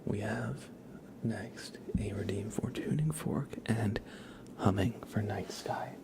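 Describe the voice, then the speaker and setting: A man hosting a live ASMR stream, chatting softly to viewers and gently guiding them in an unhurried way. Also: lowish voice, gravelly, very noisy. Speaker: ASMR livestream host.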